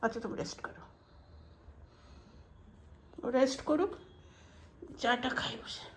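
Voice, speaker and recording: High-pitched Indian Bengali woman chatting at home. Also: a little slow, slight background noise. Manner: informal and homely, mixing in English and Hindi words. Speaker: home cooking vlogger. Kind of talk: casual chatty cooking vlog. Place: indoors at home.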